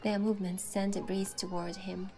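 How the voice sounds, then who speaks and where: A woman narrates a romantic story in the first person, gentle and sentimental. Her pitch sits in the middle, with soft music behind her. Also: flat delivery, moderate pace. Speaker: narrator and heroine voice-over.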